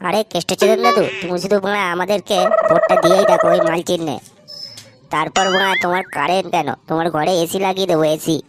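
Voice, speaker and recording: A woman's voice: fairly low pitch, fairly even intonation, quick pace, close to an everyday voice. A woman voicing a pushy, foul-mouthed young lad who wheedles as a sidekick in a comedy cartoon. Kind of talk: Bengali comedy cartoon voice acting. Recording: noisy audio with clicks.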